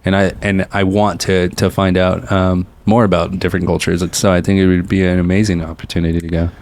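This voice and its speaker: A man with a fairly low voice co-hosts a podcast. He chats casually, in an informal and agreeable manner. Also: little pitch movement, brisk, darkish tone. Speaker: podcast co-host. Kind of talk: casual chat.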